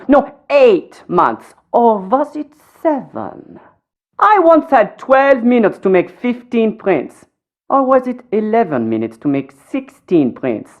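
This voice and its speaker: A man's voice: high-pitched, no room sound, dull audio, heavily acted. A man playing a vain, prickly German fashion photographer in a video game, with a German accent and a pompous, sardonic, condescending manner. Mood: musing, casual. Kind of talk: video game character dialogue.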